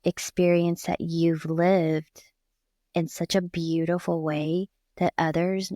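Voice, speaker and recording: Affirming woman host with a low voice. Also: flat delivery, slow, dark tone, no echo, clean studio sound. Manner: affirming, effusive, with frequent "yeah" backchannels. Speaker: podcast host. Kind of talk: conversational interview.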